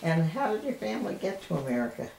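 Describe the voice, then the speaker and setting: An older woman with a gravelly voice interviewing for an oral history, friendly and encouraging. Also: fairly low pitch, sing-song, fast talker, a little mellow. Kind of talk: interview questions. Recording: echoey room, faint hiss.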